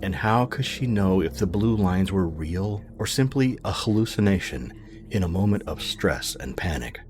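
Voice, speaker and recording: Man acting as measured storyteller, very deep, expressive, suspenseful audio drama. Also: slight background noise. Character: measured, suspenseful male narrator of a mystery. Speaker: narrator. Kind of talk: dramatic scripted story narration.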